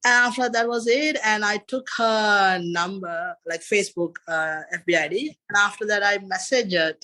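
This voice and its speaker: A man in his thirties with a Sri Lankan accent talks in a bright, clear tone. He rambles loosely through his story as a podcast guest, looping back with filler phrases. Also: sits high, normal speed. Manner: rambling, repeats "and after that" and "you know". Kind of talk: casual interview chat.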